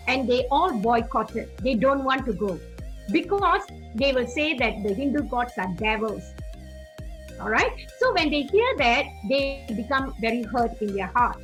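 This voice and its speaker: A woman evangelist from Singapore giving earnest teaching and testimony, repeating phrases for emphasis. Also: sits high.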